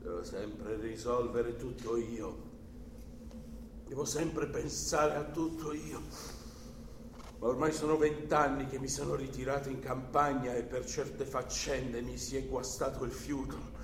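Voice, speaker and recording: Man playing slow, overbearing older uncle, echoey room. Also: medium pitch, fairly even intonation, natural voice. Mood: aggrieved, insistent. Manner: emphatic, volatile, swinging from hushed to exclaiming. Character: older, overbearing, anxious country uncle and guardian. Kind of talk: theatrical radio drama acting. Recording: roomy echo, faint background noise.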